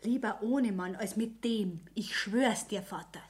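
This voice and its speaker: A woman's voice: medium pitch, a little slow, darkish tone, lightly acted. A woman playing a defiant, blunt young daughter in a German radio play. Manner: blunt, determined. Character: defiant, headstrong young Bavarian daughter of a businessman.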